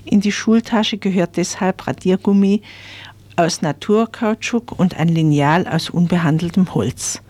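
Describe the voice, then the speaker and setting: A woman's voice: lowish voice, unhurried, a little mellow. A woman reading a scripted consumer information item as a radio presenter. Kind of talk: scripted magazine news reading.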